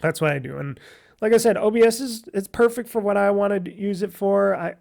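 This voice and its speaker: A man with a raspy, nasal voice hosting a casual solo podcast on a USB microphone, rambling and correcting himself as he goes. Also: high-pitched, a little fast, darkish tone. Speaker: podcast host.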